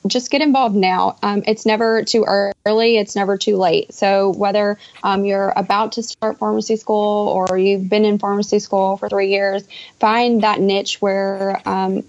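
A woman's voice: medium pitch, darkish tone, muffled recording. A young woman answering interview questions conversationally as a podcast guest, over an internet call. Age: young adult.

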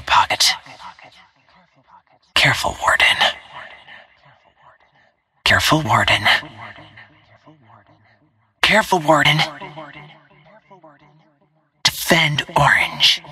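Breathy, half-whispering female assassin. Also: very deep voice, sharp tone, heavily acted. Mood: cautioning. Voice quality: breathy, husky, often whispered. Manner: clipped, terse, controlled. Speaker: video game hero callouts. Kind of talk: short tactical game callouts.

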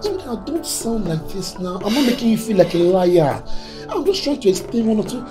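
An agitated, protective boyfriend in a film drama. He is insistent and pleading, repeating himself with a very lively pitch. Music plays clearly behind him. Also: moderate pace. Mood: defensive, placating. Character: adult boyfriend, agitated, protective, then disbelieving and placating.

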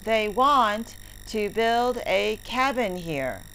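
A woman reading the part of a kindly, easygoing frontier tree planter in a children's story, with deliberate, emphatic phrasing. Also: mid-range voice, animated, sharp tone, exaggerated voice. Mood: friendly. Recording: clearly noisy recording with clicks.

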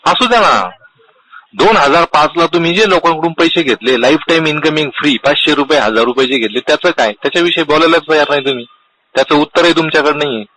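A man's voice: fairly high pitch, fast talker, bright, forceful tone, poor audio. A man making a phone complaint, confrontational and insistent, pressing with repeated questions. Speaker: customer calling a complaint line. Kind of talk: argumentative complaint phone call.

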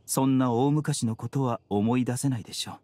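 A man voicing a gentle, composed young healer in an anime game, speaking politely and formally. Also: medium pitch, a little hiss or hum, lightly acted. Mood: calm, regretful. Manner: polite, soft-spoken, unhurried, formal. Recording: studio voice over background music.

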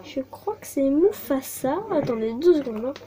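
A woman's voice: high-pitched, lively intonation, moderate pace, dark tone. A young woman chatting casually while unboxing toys at her desk, hesitant and full of fillers and apologies. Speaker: vlogger. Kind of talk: casual toy unboxing chat. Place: desk at home, indoors.

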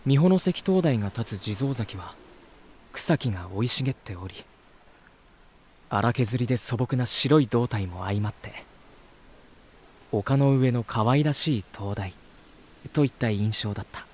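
Male lead actor for voice drama: polite, earnest reporter, medium pitch, gentle, expressive, easily amazed. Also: a little slow, pauses often, lightly acted. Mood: calm narration. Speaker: voice actor narrating as lead character. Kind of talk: first-person voice drama narration and dialogue.

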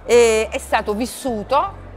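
A woman's voice: a little high, slow, darkish tone. A middle-aged woman from southern Italy, a nurse and author, answering interview questions hesitantly with many fillers. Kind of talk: casual interview. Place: studio with printed backdrop.

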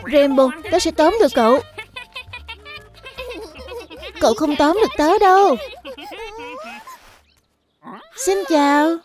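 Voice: sits high, expressive, a little fast. An actor voicing a young animal cub in a dubbed children's cartoon, eager and friendly in a childlike way, with music behind. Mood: playful, excited.

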